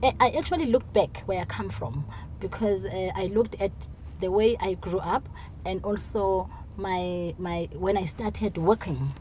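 A woman's voice: mid-range voice, a little slow, darkish tone. A middle-aged woman with a South African accent, an interview guest talking earnestly and often repeating words as she starts a sentence, recorded on a lapel mic in the back seat of a car. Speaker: interview guest, city council official. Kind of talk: conversational interview. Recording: car with road noise behind.

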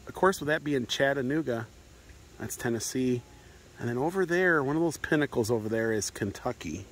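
A man's voice: medium pitch, expressive, normal speed, a bit bright. A middle-aged American man giving an easygoing, casual walkthrough narration as a travel vlogger, with short musing asides. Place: outdoors at a mountain garden and in narrow rock crevices. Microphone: phone held at arm's length or in hand.

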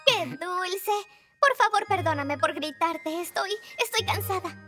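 A woman's voice: extremely high pitch, animated, a little slow, bright, forceful tone, full character voice. A huffy, motherly cartoon gadget. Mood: touched. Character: tired, motherly female household gadget. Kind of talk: cartoon dub. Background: music.

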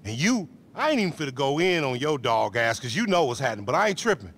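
A man's voice: medium pitch, very animated, fast, fairly bright tone. A man acting a brash, aggrieved small-time hustler in a video game, boastful and profane, with an American accent. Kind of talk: video game cutscene dialogue, slangy.

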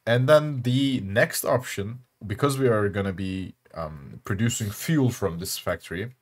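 A young Turkish man with a Turkish accent, speaking English as a second language, hosting casual gameplay commentary with many pauses and fillers. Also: lowish voice. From Turkey. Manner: halting, many fillers and restarts. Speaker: channel host.

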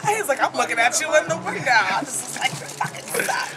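A woman's voice: high-pitched, very animated, fast talker, loud music behind the voice. A young woman in casual, blunt, sweary chat outdoors, picked up a little away from the microphone. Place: outdoors on a city sidewalk. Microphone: handheld camera a few steps away.

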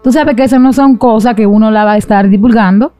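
A woman's voice: fairly high pitch, quick pace, dark tone. A young woman with a Dominican accent, telling her own story as a guest in an interview. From Dominican Republic. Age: young adult. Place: small indoor studio set with a sofa. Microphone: boom-arm microphone close to the mouth.

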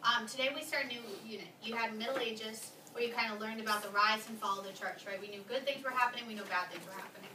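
A young woman teaching a history class, moving quickly through questions and prompting her students. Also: fairly high pitch. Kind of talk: classroom lesson with discussion. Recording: echoey classroom, distant mic, noisy.

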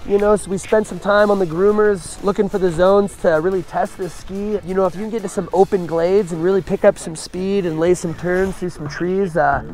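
A man with a notably high-pitched voice gives an informal ski review. His delivery is conversational and casual, over faint background music. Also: steady tone, normal speed, few pauses, a little mellow, poor audio.

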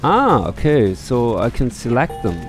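Middle aged guy doing chill lets play commentary, slow n musing, faint game music. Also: mid-range voice. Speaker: let's play streamer. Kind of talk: casual game commentary and reading aloud. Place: indoor room.

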